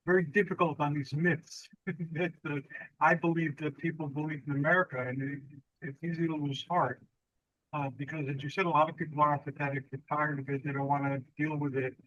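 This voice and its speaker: A male host with a fairly high voice, recorded close and dry with no room sound. He speaks in a measured, reflective way, with an occasional "uh" between thoughts. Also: a bit bright, no room sound, dull audio. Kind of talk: interview hosting.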